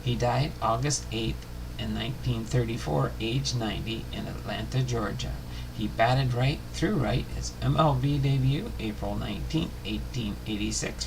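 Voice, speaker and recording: A man hosting a baseball history series, reading biography notes aloud in a halting way with small stumbles and self-corrections. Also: mid-range voice. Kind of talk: scripted biography narration, read aloud. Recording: clearly noisy home recording.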